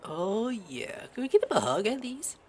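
A woman's voice: a little high, big pitch swings, normal speed, a little hiss or hum. A woman giving casual, jokey commentary while streaming a video game playthrough. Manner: playful, jokey reactions. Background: video game music.

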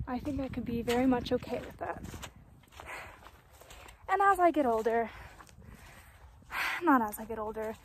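High-voiced young woman musing outdoors. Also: slight background noise. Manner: rambling, musing, swears often, self-mocking. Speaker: vlogger. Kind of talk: casual reflective vlog talk. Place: outdoors in a dry mountain meadow. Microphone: phone held at arm's length, later propped on the ground.

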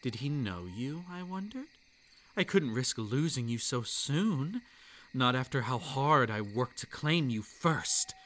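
A man voicing a possessive, gloating yandere kitsune monster boy in an intimate, hushed, menacing ASMR roleplay monologue. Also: mid-range voice, animated, slow, a little hiss or hum. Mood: smug, gloating. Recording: night insect ambience behind the voice. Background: crickets and night insects.

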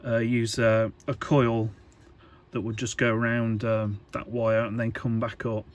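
A man giving a casual, unscripted workbench demonstration in halting, hesitant phrases, as an electronics hobbyist would. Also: medium pitch, flat delivery. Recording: clear background noise.

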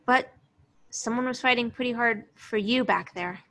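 A woman's voice: a little high, normal speed, pushed and bright. A woman reading the role of a guarded, weary young love interest at an amateur table read, understated and wry. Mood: regretful. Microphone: video call.

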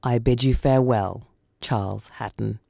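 A woman voicing a sombre, coolly mocking male judge of the dead in a radio story, measured and stern. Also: a bass voice, fairly even intonation, gravelly, dark tone, dry recording, studio quality. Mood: solemn.

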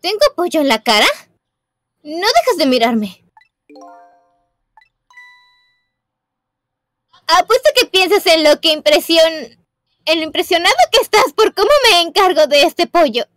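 A woman's voice: extremely high pitch, animated, moderate pace, a bit bright, dry recording. A woman voicing a spirited, stubborn young detective in an emphatic, dramatic game fan dub. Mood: playful. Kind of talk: live fan dubbing of a visual novel game.

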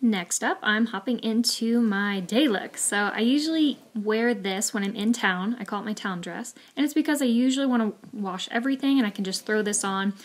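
A young woman in her twenties talks in a relaxed, chatty way, walking through her outdoor clothing piece by piece. Her voice sits at an ordinary middle pitch for a woman. The tone stays casual and upbeat throughout. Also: normal speed, few pauses. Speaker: vlogger. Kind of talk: voice-over gear walkthrough.

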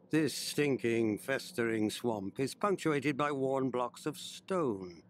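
A man's voice: mid-range voice, close to an everyday voice. An actor playing a proud, driven conqueror king in a video game, solemnly and theatrically reading out his journal. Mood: searching, awed. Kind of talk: dramatic in-character journal narration. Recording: clearly noisy ambient game background. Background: game ambience.

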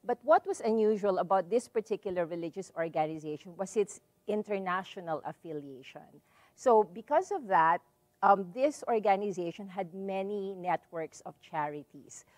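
A middle-aged woman from the Philippines with a Filipino accent, giving a public lecture in a library hall and telling her story in a measured way with many "so"s and "um"s. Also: medium pitch, animated, darkish tone. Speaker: visiting lecturer. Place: library hall with a seated audience.

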